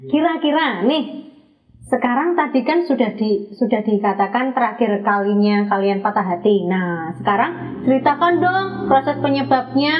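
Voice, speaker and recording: A woman's voice: a little high, lively intonation, normal speed, faint music behind. A woman hosting a casual podcast, asking friendly leading questions and laughing often. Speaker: podcast host. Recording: muffled, distant mic.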